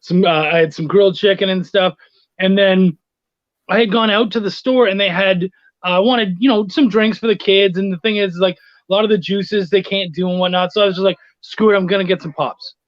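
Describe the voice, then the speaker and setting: A middle-aged man talking casually as a podcast guest, chummy and quick to swear, over an online call. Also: sits high, fairly even intonation, dry recording. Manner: chummy, profane. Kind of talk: casual storytelling chat. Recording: dull, dry lo-fi sound.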